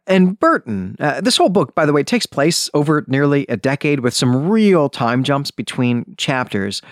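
A man hosting a podcast, telling a story in a conversational, animated way with casual asides. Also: fairly high pitch, normal speed, no room sound, clean studio sound. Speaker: podcast host. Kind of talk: conversational book summary and commentary.

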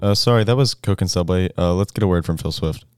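A man's voice: sits low, fast, faint music behind. A young man co-hosting a casual podcast, joking and chatty. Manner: joking, laughing, loose and chatty. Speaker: podcast co-host.

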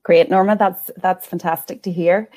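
A woman hosts a careers webinar and politely calls on each panelist in turn. Her voice sits a little low for a woman, and she keeps a brisk, friendly pace. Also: steady tone, mellow. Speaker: host, careers adviser.

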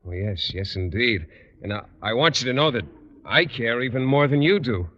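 A man's voice: medium pitch, expressive, moderate pace, pushed and bright. A man playing a shy, hesitant biology teacher in a 1950s radio comedy, stammering as he talks. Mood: earnest. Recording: muffled old radio broadcast with reverb.

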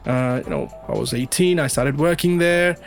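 A man's voice: medium pitch, wildly swooping pitch, normal speed, gravelly, soft background music. A man from western India, an English teacher, talking casually as an interview guest and often rephrasing himself. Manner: rambling, repeats and rephrases himself.

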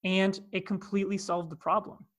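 A man's voice: high-pitched, level tone, moderate pace, a bit bright. A man with a Canadian accent, a coach telling a personal story in a podcast conversation, earnest, with many asides. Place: in Cape Town, South Africa.

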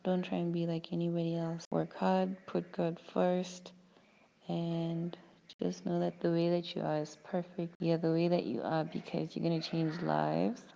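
A woman's voice: lowish voice, gravelly, dark tone, highs cut off. A young woman, an engineering graduate, answering interview questions in a chatty way, often searching for words.

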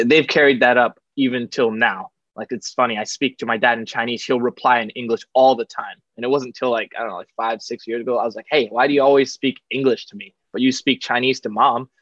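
A man's voice: medium pitch, a bit bright, no echo, highs cut off. A young man from Taiwan, a podcast guest chatting casually and joking, with many fillers and restarts. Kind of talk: casual interview chat.

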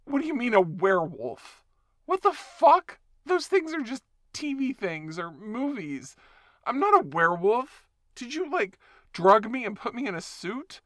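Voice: medium pitch, big pitch swings, normal speed, fairly bright tone, no room sound, clean studio sound. A performer voicing a confused person who has woken up as a werewolf, stammering and swearing through an audio roleplay monologue. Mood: bewildered, panicky.

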